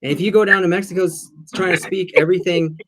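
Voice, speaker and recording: A man in casual talk-show chat, telling stories in an animated, joking way. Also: high-pitched, quick pace, low-quality recording. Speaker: show co-host.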